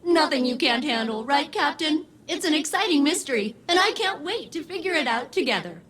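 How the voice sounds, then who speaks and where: A woman's voice: high voice, lively intonation, fairly quick, a bit bright, a little hiss or hum. A woman playing an eager, centuries-old game AI with precise, formal enthusiasm. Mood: encouraging. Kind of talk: video game character dialogue.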